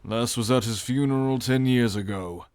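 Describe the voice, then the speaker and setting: An actor playing a solemn, loyal sailor with a smooth, resonant voice in an audio drama. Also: male voice, medium pitch, moderate pace, darkish tone, close to an everyday voice.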